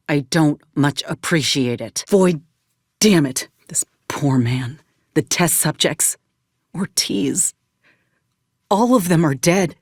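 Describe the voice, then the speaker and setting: A woman's voice: sits low, no echo, clean studio sound. An actress playing a principled, wounded woman soldier in a video game, weary yet fiercely indignant, speaking earnestly in folksy, old-fashioned frontier phrasing. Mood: stern, defiant. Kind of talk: dramatic video game acting.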